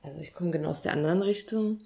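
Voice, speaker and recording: A woman's voice: on the low side, very fast, creaky, mellow. A woman in her thirties from Berlin co-hosting a casual podcast chat, bubbly and playful. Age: 30s. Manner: bubbly, playful, laughs often. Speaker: podcast co-host.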